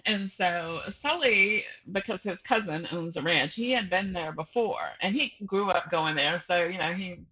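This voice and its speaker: A woman's voice: fairly low pitch, measured pace, hardly any pauses, sharp tone, husky, highs cut off. A woman speaking as a guest novelist in a casual, informal interview, often breaking off and rephrasing as she thinks aloud.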